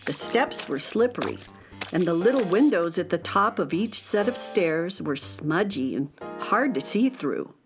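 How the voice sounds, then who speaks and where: A woman playing the narrator of a children's space audio drama, reading scripted story narration calmly. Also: on the low side, a little slow. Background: faint music.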